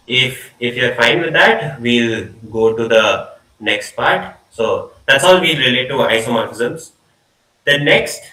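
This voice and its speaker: A man's voice: mid-range voice, bright, forceful tone, recorded from a distance. Young man teaching maths on a video call. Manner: informal, repeats words and restarts, trails off often. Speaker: student lecturer. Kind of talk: online math lecture. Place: small room indoors. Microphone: laptop mic on video call. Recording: echoey video call audio.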